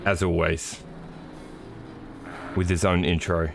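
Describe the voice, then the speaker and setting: A middle-aged man calls a sim race on a stream, his low voice a little hoarse. He talks in a loose, joking way full of ums and uhs. A faint hiss sits under the audio. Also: takes it slowly. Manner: relaxed, joking, with many fillers. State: slightly hoarse. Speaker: sim racing commentator. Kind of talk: live stream race commentary.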